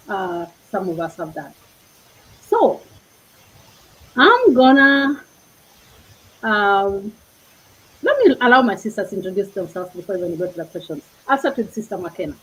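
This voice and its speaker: A woman's voice: medium pitch, slightly rough, poor audio. A woman with a Kenyan accent hosts an inspirational panel talk in an earnest, reflective way. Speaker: host.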